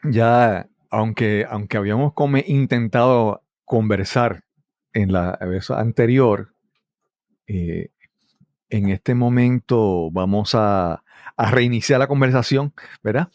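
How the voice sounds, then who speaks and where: A man hosts a conversational interview. His voice sits a little low and sounds dry and close. He often seeks agreement as he goes. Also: takes it slowly, darkish tone, no room sound. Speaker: podcast host.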